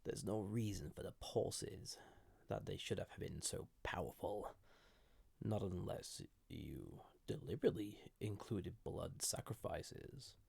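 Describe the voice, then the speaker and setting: Man voicing a slow, gravelly ancient villain. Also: deep voice, no echo, exaggerated voice. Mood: grave, explaining. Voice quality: gravelly, husky, often dropping to a whisper. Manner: slow, halting, gently condescending, formal. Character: ancient banished villain entity, wounded, soft-spoken and menacing. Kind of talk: intimate scripted audio roleplay addressed to listener.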